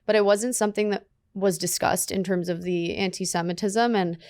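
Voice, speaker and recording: A young woman whose first language was Russian, speaking earnestly and deliberately as a guest in a studio interview. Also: mid-range voice, steady tone, a little mellow, no room sound. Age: young adult. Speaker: guest, student leader. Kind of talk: conversational interview. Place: dark studio set with sofas. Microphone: studio mic on a boom arm.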